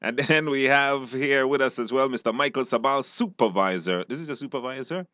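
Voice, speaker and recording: A man with a Belizean accent casually hosting a live radio show, halting and repeating words. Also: mid-range voice, bright, forceful tone, no echo. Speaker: radio call-in show host. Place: radio studio.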